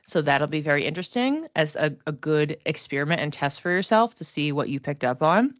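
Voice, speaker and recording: Low-pitched woman thinking aloud. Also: lively intonation, no room sound. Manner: conversational, thinking aloud in halting phrases. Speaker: psychic reader and class teacher. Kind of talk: casual livestream psychic reading, speaking to viewers.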